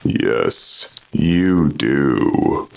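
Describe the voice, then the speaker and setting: Sinister vampire, slow, domineering, taunting, swooping delivery, muffled radio horror drama. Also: male voice, very deep voice, expressive, vocal fry, a little mellow, full character voice. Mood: gloating. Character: menacing undead vampire brother, cruel and domineering. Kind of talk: old-time radio horror acting.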